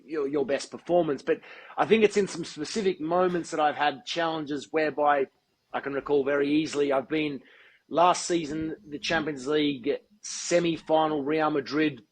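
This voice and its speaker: A man being interviewed as a guest, speaking in a measured, articulate and deliberate way. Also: fairly high pitch, steady tone, low-quality recording. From Australia. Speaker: guest, football TV presenter. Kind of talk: podcast interview.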